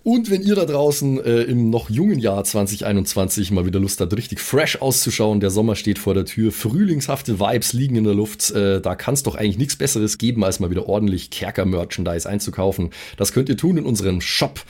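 Low-voiced man, slangy podcast host. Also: lively intonation, fairly quick, few pauses, a little mellow. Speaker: podcast host and tabletop player. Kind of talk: casual chat and informal self-promotion.

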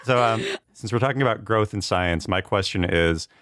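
A man chatting casually on a panel in a halting, informal way, peppered with filler phrases. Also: on the low side, a bit bright, no echo, clean studio sound. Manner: halting, informal, full of "like" and "you know". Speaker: comedy writer.

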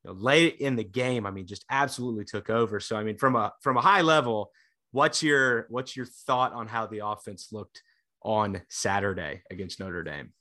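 Young male podcast co-host, bright edgy tone, excitable casual chatter, slightly congested. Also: on the low side, normal speed. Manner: excitable, chatty, lots of fillers. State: congested from illness. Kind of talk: casual sports podcast chat.

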